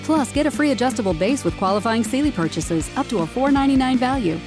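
A woman gives an upbeat, salesy ad read. Guitar music plays clearly behind her. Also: fairly high pitch, expressive, fast. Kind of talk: scripted ad read.

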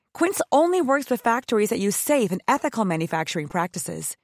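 A woman's voice: on the high side, dry recording, clean studio sound. A woman reading a scripted advertisement in a polished, upbeat way. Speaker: ad reader.

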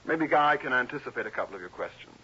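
A man's voice: mid-range voice, animated, quick pace, pushed and bright, husky, exaggerated voice. An actor playing a genial, glib American man in his twenties, hosting in mid-century radio drama style. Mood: smooth, frank. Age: 20s. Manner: chatty, hospitable, glib. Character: glib, genial young nephew hosting a party, smooth talker. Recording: dull, hissy old radio recording.

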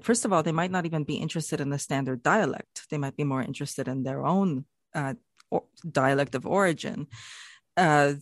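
Forties speaker, thoughtful and explainy, mid pitch, dry close sound. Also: no room sound. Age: 40s. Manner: thoughtful, explanatory, with light laughs. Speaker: guest discussing language loss. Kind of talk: reflective podcast conversation.

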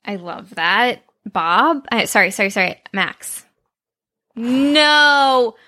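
Excitable lady cohost, bright sharp voice, chatty and giggly. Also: on the high side, slow. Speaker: podcast co-host. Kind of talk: casual chat.

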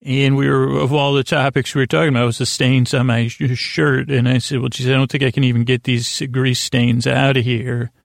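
A man hosting a sleep podcast, rambling in a halting, stammering, self-deprecating way that keeps circling back on itself. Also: medium pitch, little pitch movement, vocal fry, dry recording, clean studio sound. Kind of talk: rambling bedtime monologue.

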